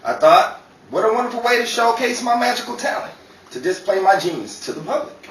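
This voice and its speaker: A man's voice: high voice, sing-song, fairly quick, a bit bright. A man playing a bumbling, self-important stage magician in a theatrical old time radio comedy style. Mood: boastful, defensive. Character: bumbling, boastful male stage magician and show announcer. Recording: roomy distant mic, noisy crowd behind.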